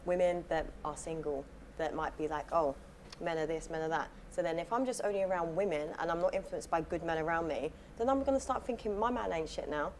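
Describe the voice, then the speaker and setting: A woman's voice: lowish voice, a little fast, hardly any pauses, darkish tone, a little hiss or hum. A woman with a London accent, chatting casually and explaining her view with many fillers. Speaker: guest in a played clip. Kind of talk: casual chat.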